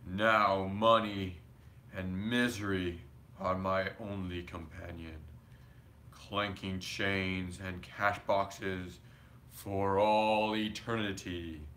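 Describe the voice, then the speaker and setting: Storybook ghost of a miser, played by a male reader: doleful, moaning, dragging out each warning slowly with forceful edge. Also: low-pitched, level tone, slight background noise, voice pushed down, full character voice. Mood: mournful, warning. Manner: slow, drawn-out moaning delivery.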